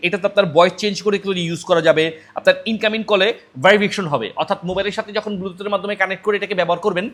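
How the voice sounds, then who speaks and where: A man with a Bangladeshi accent giving a persuasive, repetitive promotional product pitch as a shop salesman. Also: fairly bright tone.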